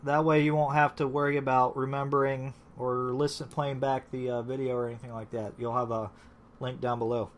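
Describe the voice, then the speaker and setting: Man, live stream host, casual chat, rambling, lots of fillers, mid pitch. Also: level tone, fairly quick, a little hiss or hum. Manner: rambling, many fillers and restarts. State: recovering from illness.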